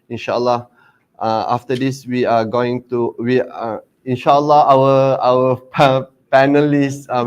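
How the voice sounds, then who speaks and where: Halting male moderator of a panel. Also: medium pitch, clear, resonant tone. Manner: halting, many fillers. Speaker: moderator, lecturer.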